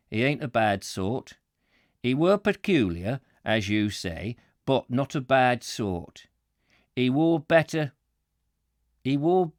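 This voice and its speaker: A man acts out an older Yorkshire warehouseman from a storybook. He speaks in broad dialect, stubborn and matey, with deliberate, aggressive emphasis. The voice sits high and is recorded dry and close. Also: dry recording. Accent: Yorkshire, put on. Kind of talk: acted dialogue in a book reading.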